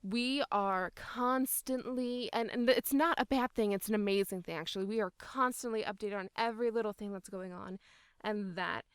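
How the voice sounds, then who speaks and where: A woman's voice: mid-range voice, normal speed, clean tone, no echo, clean studio sound. A woman speaking as an interviewed voice actor, in casual, enthusiastic and emphatic conversation.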